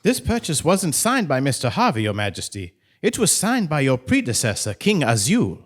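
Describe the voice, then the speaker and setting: An actor playing a formal, deferential royal aide in a stage comedy. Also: male voice, a little high, wildly swooping pitch, normal speed. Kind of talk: live absurdist comedy stage acting.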